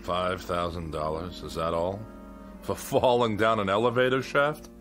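An actor playing an irritable, arrogant middle-aged husband in a radio drama, curt and sarcastic. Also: male voice, low voice, fairly even intonation, moderate pace, a bit bright, soft background music.

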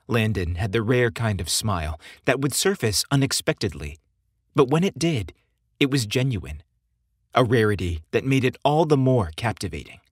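A man hosting and narrating a scripted story podcast in a theatrical, expressive storytelling style. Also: mid-range voice, slightly rough, no echo, studio quality. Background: music behind the voice.

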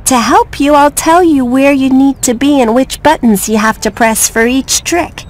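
High-voiced friendly little girl bird. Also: normal speed. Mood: friendly, encouraging. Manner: clear, encouraging, instructive. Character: friendly, encouraging young female bird. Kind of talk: video game cutscene voice acting.

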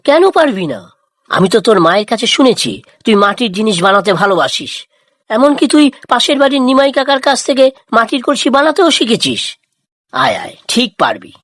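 A woman voicing a kind, patient village farmer father in a moral cartoon, gently coaxing and reassuring. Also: on the high side, big pitch swings, a little fast, no room sound, clean studio sound. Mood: encouraging. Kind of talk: Bengali moral cartoon dialogue.

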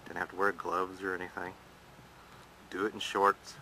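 A man's voice: sits low, little pitch movement, normal speed, bright, forceful tone, slight background noise, poor audio. A man restoring an old wooden boat in his backyard, narrating over his own camera footage in a plain-spoken, practical way. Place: outdoors in a backyard.

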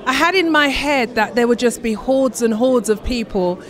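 A woman's voice: on the high side, moderate pace. A woman attendee giving an effusive, casual interview response in a large hall. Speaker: event attendee.

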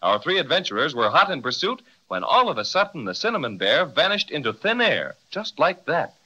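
A playful man narrates a children's radio serial with a bright, lively, confiding voice. The sound is dull and lo-fi, like a 1930s transcription disc. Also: fairly high pitch, fairly quick.

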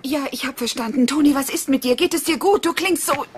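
A woman's voice: high-pitched, brisk, faint music behind, lightly acted. A woman playing the anxious wife of a kidnapped man in a German crime audio drama, urgent and agitated.

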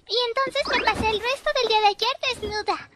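A woman's voice: very high-pitched, a little fast. A woman with a Latin American Spanish accent voicing a cheerful, silly, carefree teenage schoolgirl in an anime fandub. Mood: giggly, carefree.

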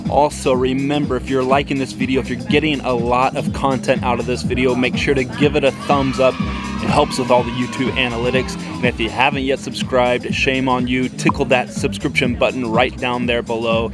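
A man's voice: mid-range voice, little pitch movement, moderate pace. Enthusiastic male travel vlogger over music. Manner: enthusiastic, emphatic. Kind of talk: upbeat travel vlog presenting to camera. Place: outdoors, city streets and an open rooftop terrace. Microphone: handheld camera at arm's length.

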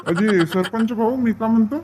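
A man's voice: sits high, normal speed, heavy background noise, natural voice. A man voicing a bantering, grumbling village farmhand in a comedy cartoon, with a rural Vidarbha Marathi accent and a drawling, colloquial delivery. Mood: complaining, joking. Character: male villagers in a comic paddy field, bantering and grumbling. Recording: dull, muffled lo-fi audio.

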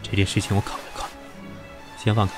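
An actor in an audio drama playing a cool, sharp-tongued company boss with a dry, cutting way of speaking. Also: male voice, fairly low pitch, flat delivery, quick pace, soft background music, close to an everyday voice.

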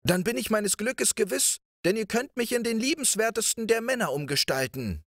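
A man voicing a witty, ugly young prince who pleads emphatically with a high, lively voice. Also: moderate pace, no room sound, clean studio sound. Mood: insistent.

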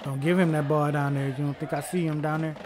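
A man plays a basketball game and talks cocky, slangy trash in short repeated bursts. His voice is a little high, with some game noise behind it. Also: little pitch movement, fast. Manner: cocky, repetitive short exclamations. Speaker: gaming video host, player. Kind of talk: casual gameplay reactions, slangy trash talk. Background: basketball game sounds.

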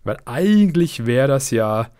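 A man of middle pitch talks casually to his viewers from a home setup. His voice sounds slightly worn from a cold. He chats on with fillers and a pace that speeds up and slows down. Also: sing-song, mellow. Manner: chatty, uneven pace with fillers. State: slightly under the weather. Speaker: let's play channel host. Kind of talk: casual monthly update to viewers.

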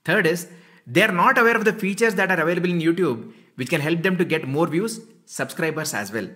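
A man's voice: a little high, expressive, brisk, sharp tone. A man in his thirties with an Indian accent, giving a scripted promotional talk as a coach. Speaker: YouTube coach. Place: small indoor room.

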